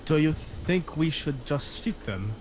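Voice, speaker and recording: A man's voice: on the high side, animated, measured pace, a little mellow, a little hiss or hum, low-quality recording. A man playing an agitated, argumentative, ruthless gangster at a screenplay table read. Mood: grim, urgent. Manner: foul-mouthed, argumentative. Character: agitated, ruthless male gangster twin behind the wheel.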